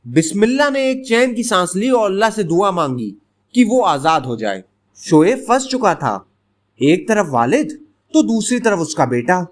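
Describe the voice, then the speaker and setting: A man narrating a scripted bedtime story in an expressive, dramatic storytelling manner. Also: sits high, unhurried.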